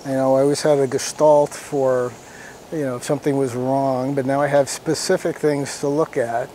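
A man in his fifties, an emergency physician, speaking in a halting, thoughtful way outdoors. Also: medium pitch, flat delivery, mellow, slight background noise. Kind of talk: testimonial interview. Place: outdoors by a log cabin.

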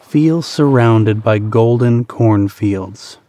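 A man narrating a guided meditation in a soothing, deliberate manner, pausing between short phrases. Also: fairly low pitch, mellow.

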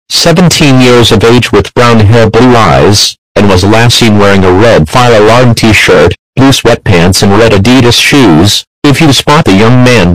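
A synthetic-sounding man reading a formal scripted emergency bulletin in a flat, impersonal, measured way. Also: lowish voice, no room sound, low-quality recording. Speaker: emergency alert announcer.

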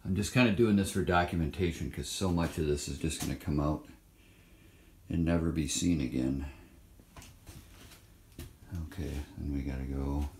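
A man with a very low voice talks slowly and offhandedly, thinking aloud as he walks around with his phone. The sound bounces around a bare, hollow room. A faint hiss sits underneath. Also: darkish tone, roomy sound. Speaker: vlogger filming a trailer renovation. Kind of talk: casual walkthrough narration. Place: inside an empty old trailer home. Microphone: handheld phone camera.

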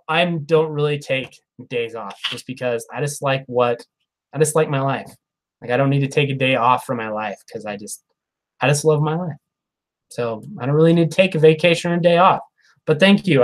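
Chatty upbeat guy in his 30s, bit of a higher voice, lapel mic in a small room. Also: a bit bright. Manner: upbeat, chatty, laughs often. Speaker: live stream host. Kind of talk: casual live Q&A, reading viewer comments. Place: small room, plain wall behind. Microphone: clip-on lapel mic.

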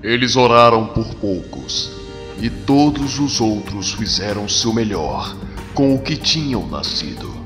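A man narrating a comic story with dramatic, solemn, measured scripted delivery. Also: fairly low pitch, animated. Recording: long reverb over music.

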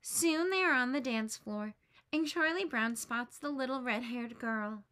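A woman with a high voice reads a children's picture book aloud. She narrates gently and expressively, like a teacher. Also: unhurried, clean tone. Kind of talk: read-aloud storybook narration.

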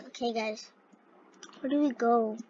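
A young female gamer with a scratchy voice, commenting on a game and reading its dialogue aloud in an excitable, halting way. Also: fairly high pitch. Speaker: gaming video host. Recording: dull, lo-fi close microphone.

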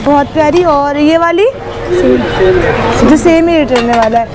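A woman's voice: high voice, expressive, slow. A young woman vlogging through a busy street market, chatting while she points out goods, reads off prices and asks the sellers. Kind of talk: market walkthrough vlog. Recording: noisy crowded street market. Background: crowd chatter and street noise.

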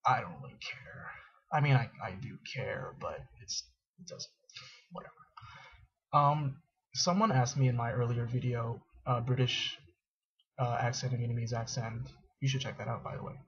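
A man's voice: mid-range voice, breathy edge, muffled recording. A young man in a dim dorm room, rambling casually to his webcam with lots of fillers and self-mocking asides. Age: young adult. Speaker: vlogger. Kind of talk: casual straight-to-camera vlog. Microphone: built-in webcam mic.

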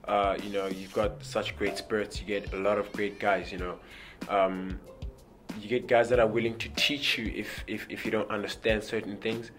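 A young South African rugby player in his twenties answering interview questions in a relaxed way, repeating words and using many "you know" fillers. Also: lowish voice, normal speed, faint music behind. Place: indoor press backdrop.

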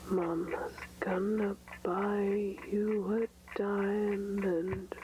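Woman acting an eerie whispering lullaby mother, very slow. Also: mid-range voice. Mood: eerie, soothing. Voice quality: whispery, breathy. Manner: drawn-out, hushed, even. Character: eerie motherly female singer of a creepy lullaby. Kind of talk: slow sung-spoken lullaby in horror animation. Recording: noisy lo-fi horror tape with clicks.

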